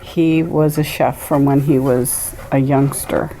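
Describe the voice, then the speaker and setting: A woman from West Virginia whose first language is Greek, calmly recalling family food traditions in an oral history interview, with plenty of "you know" and "uh". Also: rumbling low, slight background noise, low-quality recording. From Weirton, West Virginia. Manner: unhurried, with frequent fillers and "you know". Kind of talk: relaxed personal recollection.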